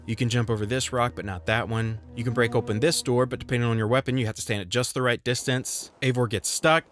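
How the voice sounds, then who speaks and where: A man with a mid-pitched voice narrates a scripted video game review. His delivery is wry, with a touch of theatrical flourish. Music plays clearly behind him. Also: steady tone, fast, fairly bright tone.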